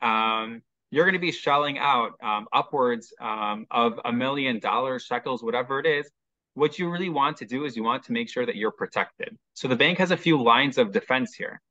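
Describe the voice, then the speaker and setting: A man's voice: mid-range voice, moderate pace, pushed and bright, no room sound, dull audio. A man from Chicago with a Chicago American accent, a mortgage specialist guest explaining step by step with frequent ums. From Chicago, USA. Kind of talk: explanatory interview talk.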